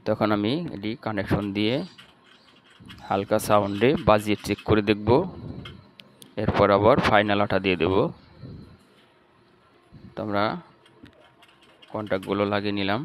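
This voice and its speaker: A man's voice: lowish voice, monotone, moderate pace, pauses often, slight background noise. A man with a Bangladeshi accent narrating a step-by-step repair tutorial in a plain, instructional way. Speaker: electronics repair channel host.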